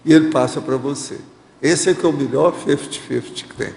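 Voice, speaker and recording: Brazilian male host, gravelly voice, direct advising tone, echoey hall sound. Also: on the high side, fairly quick, a little mellow. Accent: Brazilian Portuguese. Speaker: host reading viewer questions. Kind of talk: studio Q&A, answering questions.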